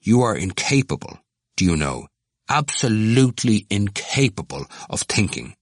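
A man's voice: lowish voice, big pitch swings, measured pace, fairly bright tone, no room sound, studio quality, full character voice. A man voicing a rude, scornful young male student in an audiobook, blunt and mock-urbane. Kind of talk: audiobook character voice.

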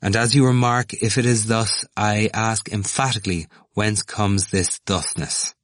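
A man voicing an amiable, pedantic young male student with gentle, deliberate emphasis in an audiobook. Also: on the low side, no room sound. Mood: amused, pompous. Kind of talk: acted audiobook dialogue.

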